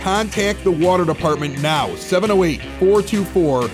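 A man hosting a local podcast with an animated radio-announcer delivery. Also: sits high, moderate pace, over music. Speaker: podcast host. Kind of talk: scripted local news reads and casual bar chat. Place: at a bar.

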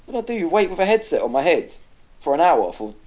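A man's voice: a little high, sing-song, fast talker, darkish tone. A man co-hosting a casual gaming podcast, ranting animatedly and swearing freely. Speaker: podcast co-host. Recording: dull lo-fi podcast audio.

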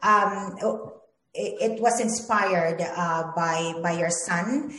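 A woman's voice: fairly low pitch, takes it slowly, fairly bright tone. A woman hosting an interview, asking questions in an encouraging way with frequent affirming murmurs. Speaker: interview host. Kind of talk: interviewing a guest. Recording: echoey room.

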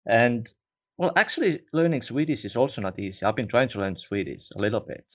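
A man from Estonia, whose first language is Estonian, hosting an interview in a relaxed way, with frequent "you know". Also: medium pitch, a little fast. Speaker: interview host. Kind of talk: casual interview.

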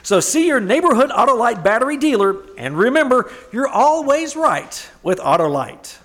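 A man playing an enthusiastic 1950s radio announcer, giving a polished, emphatic sponsor pitch. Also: big pitch swings, moderate pace, fairly bright tone, full character voice. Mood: upbeat selling. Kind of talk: old-time radio ad pitch.

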